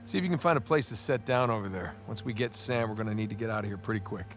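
A slightly low-voiced adventurer in his thirties , acted by a man for a cinematic video game. He is weary and wry, with a hesitant, understated way of talking. Soft music plays behind him. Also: very fast, lightly acted. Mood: urgent, businesslike. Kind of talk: naturalistic cinematic game acting.

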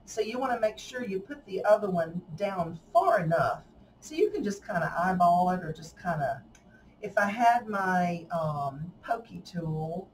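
A woman's voice: lowish voice, expressive, unhurried. Chatty Southern woman teaching crafts. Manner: chatty, folksy, laughs often. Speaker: craft tutorial host. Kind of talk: casual hands-on craft demonstration. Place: indoor craft table. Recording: slightly distant mic, faint room noise.

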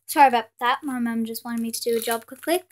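British teen girl vlogger, high voice, stuffed up with a cold, rambly and apologetic. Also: quick pace, a little hiss or hum. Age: teens. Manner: rambling, full of fillers and apologies. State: has a cold, sniffly. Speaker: pet channel host. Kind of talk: casual haul vlog. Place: indoors at a table with Christmas decorations. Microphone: camera filming her hands from above.

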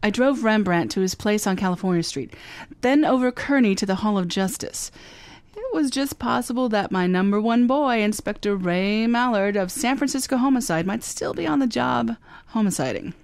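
An actress playing a wry, confident private detective who narrates a radio drama, speaking briskly. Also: female voice, mid-range voice, lively intonation, a little mellow, no echo, close to an everyday voice. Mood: wry narration. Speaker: narrator and lead.

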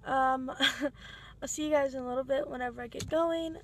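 Teen girl vlogger, high voice, raspy, arm's-length phone mic, noisy with traffic behind. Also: steady tone, moderate pace. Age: teens. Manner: chatty, giggly, talks to camera. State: raspy voice after a game. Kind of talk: casual vlog chat. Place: outdoors by a road, then a classroom, then a car at night. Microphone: phone held at arm's length.

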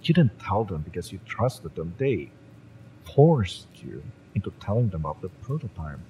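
Cocky cyberpunk merc, played by a male actor: low, slow, smug menace with big swings in pitch, audio-drama style, ambient noise behind. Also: sing-song, mellow, low-quality recording. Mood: bitter, low. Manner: taunting, smug, drawn-out menace with swearing. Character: cocky, fiery young male cyberpunk mercenary, taunting and menacing. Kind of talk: dramatic audio roleplay, intimate villain-romance acting.

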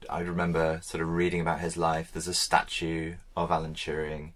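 A man's voice: a bass voice, completely flat delivery, a little fast, a bit bright. A man co-hosting a podcast, explaining ideas in a casual, explanatory way. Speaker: podcast co-host.

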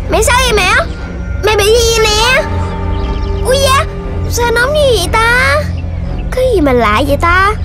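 A squeaky little cartoon puppy. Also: female voice. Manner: chirpy, excitable childlike voice. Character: cheerful young puppy and his friends. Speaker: voice actor. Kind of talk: cartoon dubbing. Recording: cartoon with music underneath.

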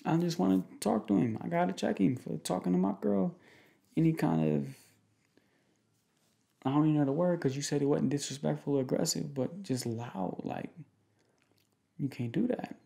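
A young man in a casual, improvised boyfriend roleplay, speaking informally in slangy, halting, rambling phrases. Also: a little high, gravelly, dark tone. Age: young adult. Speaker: ASMR roleplay performer playing a protective boyfriend. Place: small indoor room at home.

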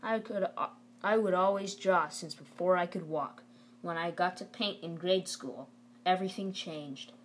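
A woman's voice: on the low side, normal speed, a little hiss or hum, natural voice, poor audio. A woman reading aloud the part of a stern, plain-spoken father who lectures his son in a firm, folksy way. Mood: reflective. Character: stern, plain-spoken Southern father, a working man urging schooling.

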